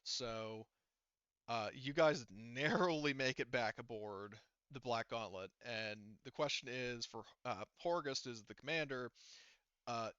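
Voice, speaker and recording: Bright, crisp-voiced man running a game. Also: mid-range voice, dry recording, muffled recording. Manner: thinks aloud, casual swearing. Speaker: game master. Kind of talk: casual tabletop game narration.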